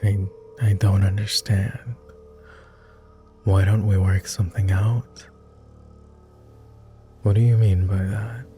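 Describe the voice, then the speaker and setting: A man playing a weary, courteous immortal vampire in intimate ASMR roleplay, speaking softly in formal, hesitant phrases. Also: low-pitched. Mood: puzzled, softening. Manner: formal, measured, hesitant with pauses. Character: weary, reserved, self-loathing male vampire, immortal, courteous.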